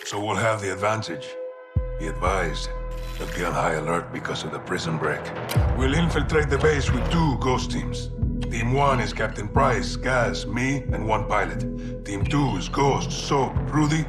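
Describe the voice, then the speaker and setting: An actor playing a proud, fiery Mexican special forces colonel with a commanding manner in a video game. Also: male voice, fairly low pitch, natural voice. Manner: commanding, passionate. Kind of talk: cinematic video game acting. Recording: loud music under dialogue.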